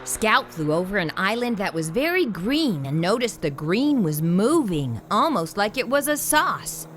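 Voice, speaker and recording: A woman reading a children's picture book aloud as a storyteller, in an animated way with theatrical emphasis. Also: medium pitch, a little slow, a little hiss or hum.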